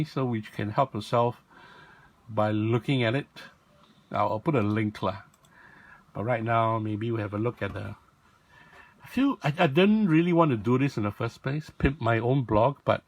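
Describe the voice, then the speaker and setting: A man with a raspy voice, talking casually and rambling as he shows off a toy in a video. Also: mid-range voice. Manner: rambling, self-correcting, lots of fillers. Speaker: toy blogger and vlog host.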